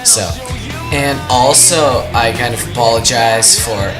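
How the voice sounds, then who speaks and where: A man from Russia with a Russian accent, his first language being Russian, casually explaining his guitar design in halting, filler-heavy speech. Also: medium pitch, roomy sound. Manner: hesitant, many fillers and restarts. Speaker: guitar design contest entrant.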